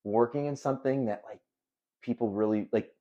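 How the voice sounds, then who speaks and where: A man's voice: mid-range voice, a little fast, lo-fi sound. A young man who is a podcast guest, talking casually in a reflective, rambling way full of fillers. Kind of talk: casual conversation.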